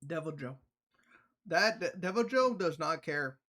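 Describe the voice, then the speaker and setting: Man, slightly high voice, casual livestreamer, slangy and sweary, animated storytelling. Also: a little slow. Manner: profane, slangy. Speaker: livestreamer reacting to a video. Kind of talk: casual reaction commentary.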